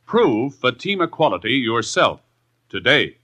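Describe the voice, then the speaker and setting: A man's voice: mid-range voice, big pitch swings, measured pace, sharp tone. A man with an American accent, announcing formally and emphatically in the style of 1950s radio. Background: brass music.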